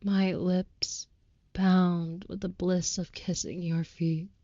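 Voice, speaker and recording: Slow, soft vampire girlfriend, voiced by a woman. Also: lowish voice, little pitch movement, no echo, lo-fi sound. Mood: reverent, adoring. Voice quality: soft, breathy with whispered moments. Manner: florid, reverent, archaic formal wording. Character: devoted, tender female vampire girlfriend, ornately romantic. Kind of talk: intimate audio roleplay with whispery delivery.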